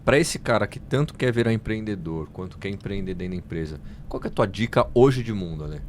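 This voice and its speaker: A man's voice: on the low side, animated, quick pace, a little hiss or hum. A man with a Brazilian Portuguese accent hosting a podcast, asking long, informal, slangy questions. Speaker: podcast host. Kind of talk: casual interview hosting.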